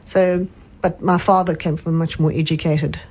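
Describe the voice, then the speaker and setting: A woman's voice: sits low, little pitch movement, moderate pace, slight background noise, poor audio. An older woman with a South African accent, a guest chatting and reminiscing in an interview. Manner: reminiscing, with soft hums of agreement. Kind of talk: casual interview chat.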